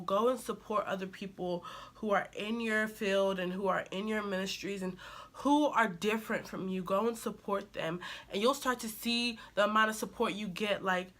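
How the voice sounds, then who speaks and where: Young female vlogger, nasal from a cold, bright forceful tone, earnest encouraging advice. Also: medium pitch, fairly even intonation, normal speed. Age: young adult. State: recovering from a cold. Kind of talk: casual advice talk to camera.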